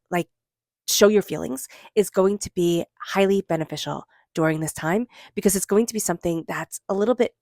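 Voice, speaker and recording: Lady with a stuffy cold doing a gentle tarot reading, lowish voice, soft slow chants, dry sound. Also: no room sound. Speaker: tarot reader.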